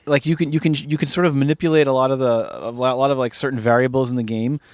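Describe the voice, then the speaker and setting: Slightly hoarse man, dry teasing wit. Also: mid-range voice, fairly even intonation, fast, no room sound. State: hoarse, recovering from a cough. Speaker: podcast co-host. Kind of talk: casual chat.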